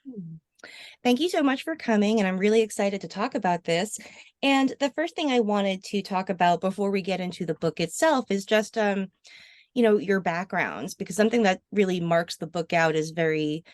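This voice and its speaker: A woman's voice: mid-range voice, normal speed, no room sound. Woman podcast host, close dry sound. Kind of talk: conversational interview.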